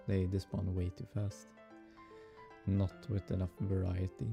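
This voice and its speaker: Man, Swedish accent, low voice, unhurried, musing with fillers, faint background music. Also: completely flat delivery, vocal fry. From Sweden. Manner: musing aloud, halting with fillers and soft laughs. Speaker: game developer streaming his hobby project. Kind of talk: casual live-coding stream chatter.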